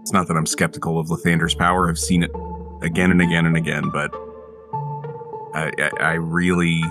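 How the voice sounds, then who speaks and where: A man playing a sincere, self-doubting adventurer in a tabletop game, speaking haltingly and earnestly. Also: deep voice, level tone, music in the background, close to an everyday voice. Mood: earnest, hesitant. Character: sincere, self-doubting male adventurer, loyal brother-in-arms.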